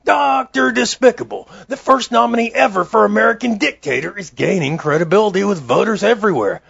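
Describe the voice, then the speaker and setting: A man playing an excitable, pompous TV election news anchor with theatrical emphasis, in a comedy news parody. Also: high-pitched, brisk, gravelly, breathy edge, exaggerated voice. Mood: mock-serious. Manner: theatrical broadcast delivery with swooping emphasis. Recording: dull lo-fi audio, faint music behind.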